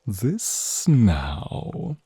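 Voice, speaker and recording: A man voicing a flirtatious, teasing male naga in an ASMR roleplay, speaking in a seductive, breathy, drawn-out way to the listener. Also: mid-range voice, huge pitch swings, dark tone, dry recording, clean studio sound, heavily acted. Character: flirtatious, teasing male naga, crimson-eyed protective companion. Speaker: voice actor.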